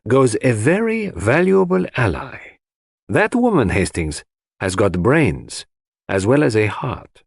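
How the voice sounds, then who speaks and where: A man voicing a shrewd, solemn foreign detective in an audiobook, speaking in a measured, emphatic and enigmatic manner. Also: mid-range voice, very animated, vocal fry, breathy edge, no echo, studio quality, pitched above the performer's own voice, full character voice. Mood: musing.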